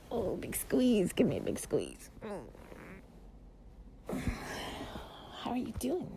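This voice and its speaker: An affectionate, playful girlfriend voiced by a woman murmurs close to the mic in an improvised cuddle roleplay. Her voice is warm and relaxed, breathy and often near a whisper, with plenty of creak. She rambles tenderly over faint background noise. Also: medium pitch. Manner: soft, rambling, affectionate, giggly. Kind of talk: improvised intimate relaxation roleplay audio, ASMR-like.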